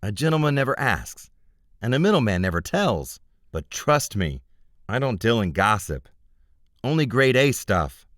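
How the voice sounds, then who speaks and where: A man's voice: medium pitch, big pitch swings, moderate pace, a bit bright, no room sound, studio quality, voice pushed up. Man playing a smug, sly information broker. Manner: smug, wry.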